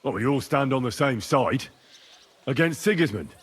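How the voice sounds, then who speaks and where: An actor voicing an earnest, determined young squire in a video game, with a slightly husky voice. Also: male voice, medium pitch, animated, moderate pace, fairly bright tone. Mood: persuasive. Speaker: game protagonist.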